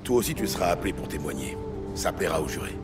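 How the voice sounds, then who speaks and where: An actor playing a weary, hard-drinking police lieutenant in a French audio drama, gruff, dry and laconic. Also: male voice, low voice, lively intonation, fast talker. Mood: gruff, kind. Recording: loud music behind the voice.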